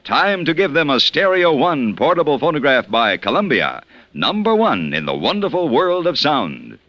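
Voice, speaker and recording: Male commercial announcer, 1950s radio, emphatic and very lively in pitch, close dry sound, slightly dull old recording. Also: moderate pace, dry recording. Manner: emphatic salesmanship. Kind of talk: polished 1950s radio ad read. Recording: dry, band-limited vintage radio.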